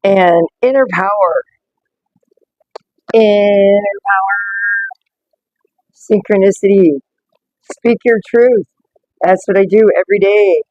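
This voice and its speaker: A woman with a slightly rough voice, reading oracle cards in a casual live stream and greeting viewers by name. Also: mid-range voice, takes it slowly, pauses often, highs cut off, a little hiss or hum. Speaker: oracle card reader.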